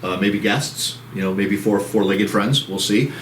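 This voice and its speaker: A man's voice: on the low side, a little slow. A man co-hosting a casual real estate chat show, rambling with restarts and upbeat sign-offs, with a soothing voice. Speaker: co-host of a title company show. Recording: roomy, distant mic, faint background noise.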